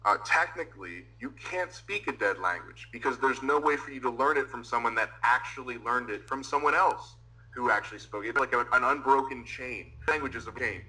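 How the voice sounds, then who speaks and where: Guy explaining stuff in a chat, very sharp tense tone, kinda raspy, lots of ums. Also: medium pitch, rough recording. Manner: explanatory, halting with fillers. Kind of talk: casual online discussion.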